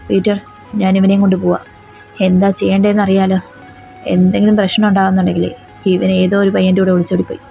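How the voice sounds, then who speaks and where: A woman voicing a cold, threatening young male mafia boss who talks slowly and possessively. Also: little pitch movement, vocal fry, dark tone, close to an everyday voice. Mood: menacing. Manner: slow, low, possessive and threatening. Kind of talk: Malayalam fan audio drama. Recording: slightly dull lo-fi recording with faint music.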